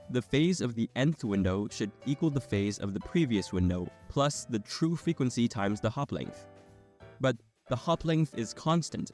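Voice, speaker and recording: A man with a medium-pitched voice narrates a scripted tutorial. He explains things clearly, one step at a time. Music plays behind his voice. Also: darkish tone.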